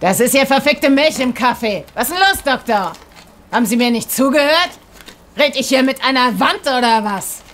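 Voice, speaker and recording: A woman's voice: high voice, expressive, moderate pace, fairly bright tone, a little hiss or hum. An actress playing a brash, foul-mouthed woman with a sneering, provocative manner in an audio drama. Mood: angry. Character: crude, brash, foul-mouthed woman, an alter ego in therapy.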